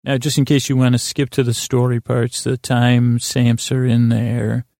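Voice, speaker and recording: A man with a creaky voice hosting a bedtime podcast, rambling in a meandering, halting and repetitive way to help listeners fall asleep. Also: medium pitch, flat delivery, mellow, dry recording, clean studio sound. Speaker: podcast host.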